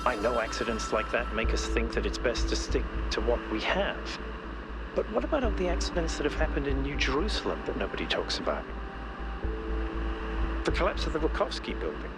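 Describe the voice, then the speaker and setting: A man's voice: mid-range voice, sing-song, brisk, very noisy. A man playing a driven, visionary expedition leader in a video game, with an emphatic, commanding way of speaking. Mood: impassioned. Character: driven, visionary male robot expedition leader, passionate about progress. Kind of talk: dramatic video game voice acting. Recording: loud music over the voice. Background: loud game music.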